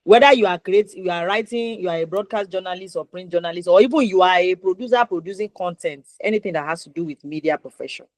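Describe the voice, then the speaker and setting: A woman's voice: lowish voice, fast, few pauses, dry recording. A woman hosting a conversational interview, asking questions and recapping the answers. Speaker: interviewer, host.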